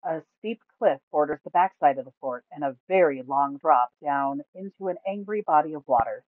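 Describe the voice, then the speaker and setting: A woman's voice: sits low, normal speed, no echo. Woman narrating fantasy, phone-like sound. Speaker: storyteller. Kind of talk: scripted fantasy story narration.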